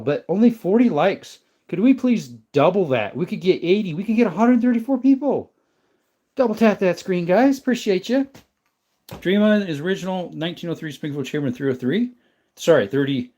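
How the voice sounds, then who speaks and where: A man hosting a casual livestream, reading and answering viewer chat questions in a relaxed, rambling way and thanking viewers by name. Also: fairly high pitch. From not from the American South. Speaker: livestream host.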